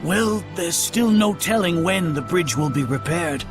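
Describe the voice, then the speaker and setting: A man's voice: fairly high pitch, animated, a little slow, over music. An actor playing a polite, deferential male servant in a video game, speaking formally and courteously. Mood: puzzled, deferential. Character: polite, deferential man serving a lady. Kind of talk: English video game dub acting.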